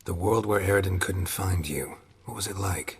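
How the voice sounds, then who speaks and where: An actor playing a gruff, stoic, weathered monster hunter in a video game, laconic and deadpan, with a gravelly voice. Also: male voice, sits low, normal speed, big-room sound, exaggerated voice. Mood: probing, dry. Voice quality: gravelly, husky. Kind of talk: video game dialogue acting.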